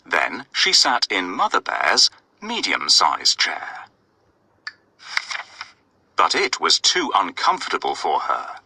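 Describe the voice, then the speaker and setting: Very animated, bright-voiced male storyteller. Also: low-pitched, slow, vocal fry, pauses often, slightly rough, no room sound. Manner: expressive, addresses young listeners with questions. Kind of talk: scripted children's story narration.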